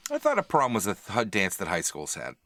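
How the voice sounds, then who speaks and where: Animated man with a bright, dry sound. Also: fairly low pitch, brisk, creaky, dry recording. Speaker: podcast co-host. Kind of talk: casual, bantering podcast chat.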